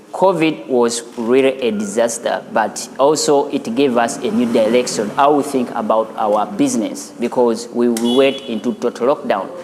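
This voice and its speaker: A man, a studio founder and teacher, talking in an earnest, unhurried way with small restarts in a documentary interview. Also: medium pitch, slight background noise. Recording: interview over loud background music.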